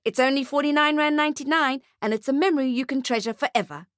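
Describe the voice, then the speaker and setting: A woman's voice: high-pitched, fast talker, no room sound, clean studio sound, voice pushed up, lightly acted. A woman voicing a pushy, crude man who works as a mall photographer in a storybook, salesy and brusque.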